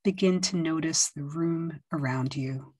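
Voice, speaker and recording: Woman meditation guide, low and breathy, unhurried soothing delivery, dry close sound. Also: no room sound. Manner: slow, soft and soothing, with long pauses and gentle instructions. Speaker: yoga nidra teacher.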